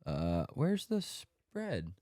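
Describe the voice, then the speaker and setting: A man's voice: fairly high pitch, huge pitch swings, very slow, a little mellow, no room sound, clean studio sound. A man hosting a sports podcast, a little congested from a cold, running through his picks in an offhand, joking way. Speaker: podcast host. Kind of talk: casual sports podcast monologue.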